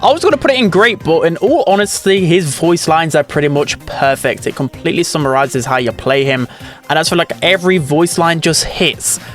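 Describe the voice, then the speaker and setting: A man's voice: fairly high pitch, lively intonation, brisk, soft background music. A young man giving casual, chatty gaming commentary in a run-on delivery. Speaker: gaming video host.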